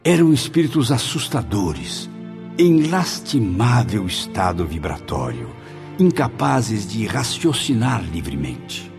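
Anguished Brazilian male spirit, lowish, expressive. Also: slow, creaky, full character voice. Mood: solemn, horrified. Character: anguished, awed male spirit recounting his afterlife journey. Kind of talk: Brazilian radio drama narration and acting. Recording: music bed under the voice. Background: dramatic music.

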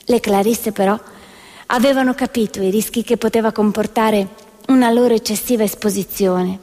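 A woman's voice: mid-range voice, steady tone, moderate pace, warm tone, long reverb tail. A woman narrating a satire as a theatrical, scripted stage storyteller.

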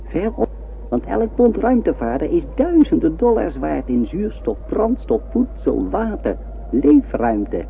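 A man's voice: sits high, gravelly, full character voice. An actor voicing a tiny dwarf crewman of a spaceship, narrating in a radio play. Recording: tinny, hissy old radio recording, humming.

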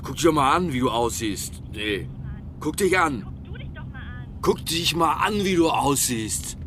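An actor playing a drunken, self-righteous middle-aged politician who rambles and stammers pompously in a German radio crime drama. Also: male voice, fairly high pitch, lively intonation, a little slow, a bit bright. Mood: contemptuous, drunk. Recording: noisy car interior ambience.

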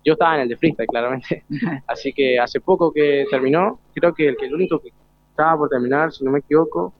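A man's voice: fairly high pitch, fast, dry recording. A teenage male student with an Argentine accent, telling his experiences informally in an interview. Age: teens, about 17. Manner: informal, youthful storytelling. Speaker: student guest. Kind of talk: casual interview talk. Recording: tinny, phone-like live broadcast sound.

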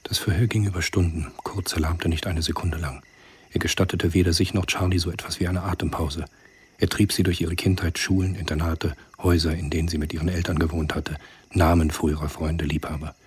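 Really deep raspy guy narrating a radio play, reading from a script. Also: level tone, fairly quick.

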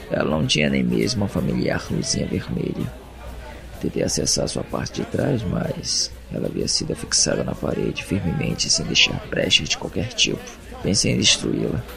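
Guy acting as uneasy brazilian prisoner narrating an audio drama, gravelly, voice swings a lot, music behind. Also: sits low, exaggerated voice. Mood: puzzled, methodical. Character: captive man, introspective, uneasy, reasoning through his confinement.

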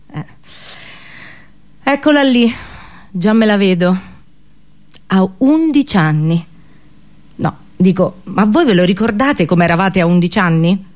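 Sardonic woman performing a comic monologue. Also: mid-range voice. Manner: colloquial, sarcastic, exaggerated rhetorical questions. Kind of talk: comic theatrical radio monologue.

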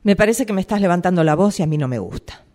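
A woman's voice: on the low side, lively intonation, rapid-fire, a little mellow, close to an everyday voice. A woman with a Rioplatense accent playing an authoritarian, evasive boss, curt and sarcastic, in amateur radio theatre. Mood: irritated. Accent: Rioplatense Argentine Spanish.

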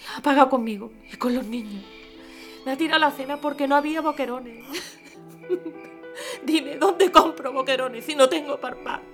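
A desperate, poor mother in a radio drama. Her voice is high and tearful, with faint music underneath. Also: normal speed, pauses often, a bit bright. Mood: tearful despair. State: crying. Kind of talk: audio drama acting.

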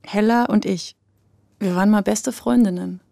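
A woman's voice: mid-range voice, fairly even intonation, normal speed, gravelly, warm tone, dry recording, clean studio sound, close to an everyday voice. An actress playing a reflective woman who narrates her memories in an intimate radio drama. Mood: wistful, musing. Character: reflective woman remembering her lost childhood best friend.

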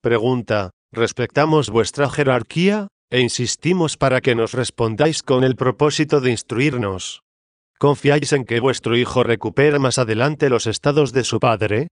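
Narrador de audiolibro de voz media. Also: male voice, medium pitch, dry recording, clean studio sound. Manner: measured, formal. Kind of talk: scripted reading of a spiritist book.

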